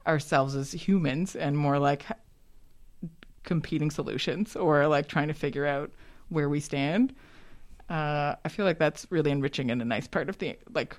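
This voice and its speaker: A woman in a casual discussion, talking quickly and acting out what people say in quoted voices. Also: low voice. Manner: quick, animated, acts out quotes.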